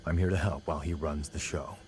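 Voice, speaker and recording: A man's voice: a bass voice, fairly even intonation, fairly quick, darkish tone. A man playing an easygoing, loyal comrade in a video game, talking in a casual, colloquial way. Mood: relaxed, friendly. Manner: casual, colloquial, laid-back. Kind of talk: video game cutscene acting.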